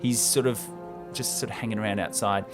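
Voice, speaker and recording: A man's voice: fairly low pitch, steady tone, brisk, soft background music. A man co-hosting a show, retelling a film script in an informal, enthusiastic, chatty way. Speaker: podcast co-host.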